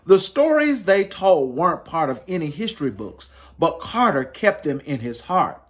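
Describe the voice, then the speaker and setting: A man's voice: fairly high pitch, very animated, normal speed, a bit bright. A man hosting a story time, reading a picture book aloud to families in a dramatic, emphatic way. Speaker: children's storybook reader, host.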